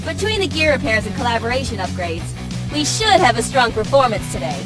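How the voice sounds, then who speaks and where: A woman playing a bossy, short-tempered young female mech pilot and team leader in an anime-style game, brisk and commanding. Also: a little high, sing-song. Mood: brisk, businesslike. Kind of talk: anime-style visual novel voice acting. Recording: music behind the voice.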